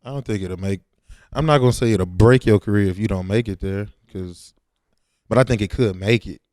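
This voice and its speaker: A man's voice: fairly low pitch, fairly quick, a little mellow, no echo. A laid-back man, talking casually and with slang as a rapper in an interview.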